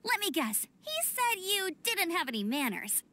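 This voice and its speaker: A bright, cheerful young knight. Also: female voice, very high voice, sing-song, normal speed, exaggerated voice. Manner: bright and chatty, explains eagerly. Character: cheerful young woman knight, friendly and upbeat. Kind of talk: video game voice acting. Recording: game audio with faint music.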